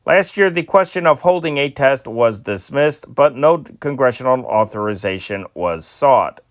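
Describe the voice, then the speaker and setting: A man reading old newspaper stories aloud as a newsreader, in a measured way with occasional slips and restarts, and datelines in a lifted voice. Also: mid-range voice, lively intonation, dry recording, clean studio sound. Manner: dateline cities read in a rising, louder voice.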